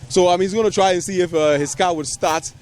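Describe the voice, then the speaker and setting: A man with a Ghanaian accent reporting outdoors on a handheld mic, lively and inquisitive. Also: sits high, fast talker. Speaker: field reporter. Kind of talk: street reporting. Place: outdoors on a busy road. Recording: faint traffic noise outdoors.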